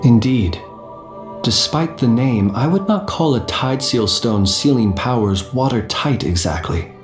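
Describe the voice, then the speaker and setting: Gentleman soldier for a video game, male actor: measured, composed, formal, middle register, with reverberant sound. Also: mid-range voice, expressive, over music, lightly acted. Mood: pondering. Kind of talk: video game character dialogue.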